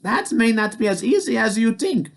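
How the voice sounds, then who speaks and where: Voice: mid-range voice, big pitch swings, moderate pace, creaky, voice pushed up. A storyteller voicing a clever, sweet-talking giant's wife in a storybook tale. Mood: sweetly sly. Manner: sugary, coaxing. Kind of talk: storybook reading.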